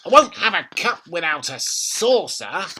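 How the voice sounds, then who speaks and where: A man voicing a nasty, bossy old grandmother from a children's storybook, scolding and snapping with drawn-out emphasis. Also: high voice, very animated, sharp tone, slight background noise, voice pushed up, exaggerated voice. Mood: demanding, peevish.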